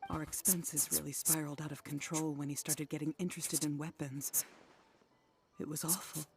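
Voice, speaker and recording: A woman's voice: low voice, steady tone, normal speed, vocal fry, noisy background. A woman voicing a worried middle-aged wife in a game, speaking wearily and apologetically. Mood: weary. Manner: weary, apologetic, soft-spoken. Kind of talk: game voice acting.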